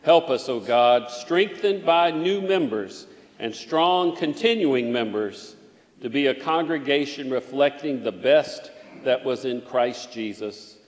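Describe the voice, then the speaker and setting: A man, a pastor, leading a measured, solemn prayer and welcoming new church members. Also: mid-range voice, lively intonation. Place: large church sanctuary. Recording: echoey sanctuary with long reverb.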